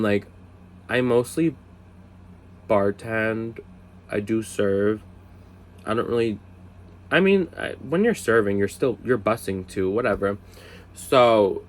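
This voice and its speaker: A man in his twenties telling a casual story, chatty, swearing, with lots of "like" fillers and high-voiced impressions of other people. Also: expressive, unhurried, pauses often. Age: 20s. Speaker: storyteller vlogger.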